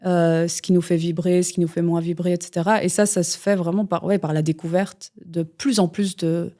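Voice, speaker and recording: A woman's voice: fairly low pitch, flat delivery, normal speed, warm tone. A woman, a guest musician talking in a casual interview, rambling with many fillers and restarts. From Belgium.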